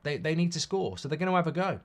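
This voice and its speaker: A British man with a slightly croaky, bunged-up voice hosts a live football watchalong, mixing casual commentary with blunt, jokey replies to the chat. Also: on the high side, animated, fast talker.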